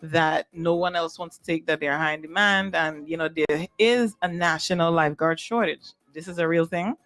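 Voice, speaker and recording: A woman's voice: low voice, normal speed, fairly bright tone, dry recording, faint music behind. A woman from Trinidad with a Trinidadian accent, hosting a radio show in a chatty, casual way and talking straight to her listeners. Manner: chatty, talks to listeners directly with lots of "right?". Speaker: radio DJ host.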